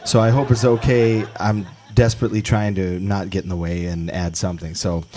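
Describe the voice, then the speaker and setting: A man's voice: low-pitched, level tone, a little fast, very noisy. A man performing live at an outdoor film screening who speaks in a modest, hesitant way with restarts. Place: outdoor festival.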